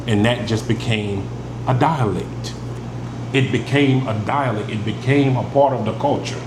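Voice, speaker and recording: Preaching man in an echoey room. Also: mid-range voice. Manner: reads verses then explains them in plain talk. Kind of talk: scripture teaching. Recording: echoey room with air conditioning hum.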